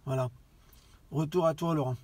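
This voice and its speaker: Halting man chatting casually, slightly high voice. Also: fairly even intonation, darkish tone, dry recording. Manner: halting, repeats phrases. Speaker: amateur radio operator. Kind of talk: casual radio contact chat.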